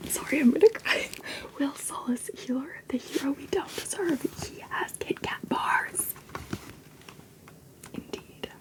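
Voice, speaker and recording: A woman's voice: high-pitched, a little slow, low-quality recording. A young woman whispering as she rambles and giggles about favorite books in a casual video. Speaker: video creator. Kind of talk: whispered book-talk ramble.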